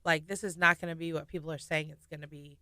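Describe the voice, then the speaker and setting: Bright, laughing woman radio guest. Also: low voice, quick pace, no echo, studio quality. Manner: lively, laughing, candid storyteller. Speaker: singer and nurse guest. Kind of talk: casual radio interview. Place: radio studio.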